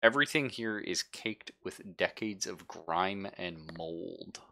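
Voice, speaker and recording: A man acting as game master in a tabletop roleplaying game, describing things conversationally and haltingly as he thinks aloud. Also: sits low, creaky, pushed and bright. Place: live-streamed game session.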